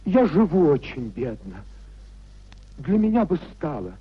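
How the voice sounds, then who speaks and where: An actor playing a poor, ashamed idealist pleading haltingly and apologetically, husky-voiced, in 1950s radio drama style. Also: male voice, fairly high pitch, big pitch swings, full character voice. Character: humbled idealist nephew, poor, ashamed petitioner. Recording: dull 1950s radio recording.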